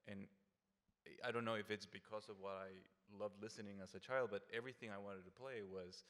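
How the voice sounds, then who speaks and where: A man's voice: fairly low pitch, fairly even intonation, fairly quick, fairly bright tone. A man who grew up in Peru, answering interview questions on a panel in a hesitant, reflective way with frequent restarts. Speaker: early music performer, guest. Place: concert hall stage, live event. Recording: long reverb of a concert hall.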